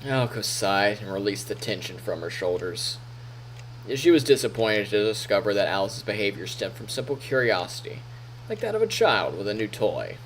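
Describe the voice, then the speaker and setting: A man's voice: lowish voice, sing-song, moderate pace, a little hiss or hum, low-quality recording. A male streamer reading a visual novel aloud expressively as narrator, on a close microphone. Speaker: live streamer. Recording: music faintly behind.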